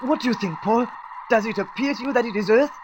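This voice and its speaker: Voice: medium pitch, fast talker, noisy background, natural voice. A performer playing an earnest, level-headed scientific space traveller in a radio serial, with precise, formal phrasing. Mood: anxious, insistent. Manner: precise, formal, insistent phrasing without contractions.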